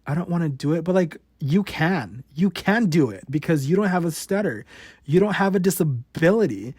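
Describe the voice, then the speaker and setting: A man's voice: fairly high pitch, lively intonation, normal speed, darkish tone, breathy edge, no room sound, clean studio sound. A man in his late twenties who stutters, hosting a podcast and talking bluntly and frankly about his own life, with frequent swearing. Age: 20s. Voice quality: stutters, with repeated words and cut-off sounds. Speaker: podcast host. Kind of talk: candid personal monologue.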